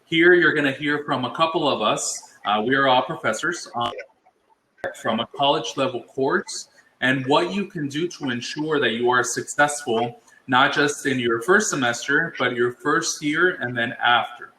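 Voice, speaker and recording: A man's voice: mid-range voice, moderate pace, pushed and bright, echoey room, a little hiss or hum, low-quality recording. A man professor hosting an online college workshop, talking in a friendly way with some hesitant fillers. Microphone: video call.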